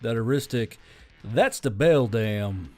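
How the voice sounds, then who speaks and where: A man's voice: mid-range voice, lively intonation, slow pace, warm tone, soft background music. A man speaking as a channel host in a casual, rambling, earnest address to his listeners. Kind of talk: casual unscripted sign-off to listeners.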